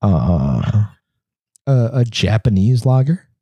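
A man co-hosting a casual podcast, talking through a bit of congestion. His low, mellow voice has a husky edge. He rambles with frequent fillers, recorded close and dry. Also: very animated, slow pace, no room sound. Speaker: podcast co-host. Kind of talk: casual chat.

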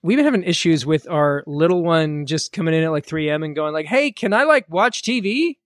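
Chatty man with a raised pitch. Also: fairly quick, dry recording, clean studio sound. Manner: relaxed, rambling with many fillers. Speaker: podcast co-host. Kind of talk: casual podcast chat. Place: home studio during a live stream.

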